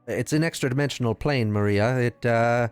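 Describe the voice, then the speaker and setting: A man's voice: medium pitch, normal speed, clean tone, faint music behind. A man playing a tabletop roleplaying game, talking casually and thinking aloud with hesitant questions.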